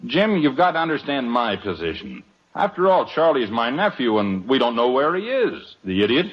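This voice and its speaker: Fretful, animated radio sitcom neighbor. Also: male voice, medium pitch, normal speed. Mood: worried. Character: apologetic, fretful male neighbor and family friend. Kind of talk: 1950s radio sitcom acting. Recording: dull vintage radio recording.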